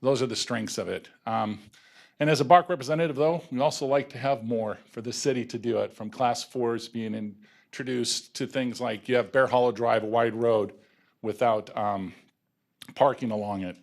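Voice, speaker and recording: A man's voice: mid-range voice, moderate pace, vocal fry. A man speaks at a council chamber podium as a cycling advocate. He talks in an earnest, proud, loosely structured way, with a middle-range voice. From local resident of the city. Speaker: bicycle advocacy group representative. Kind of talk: public comment at a city council meeting.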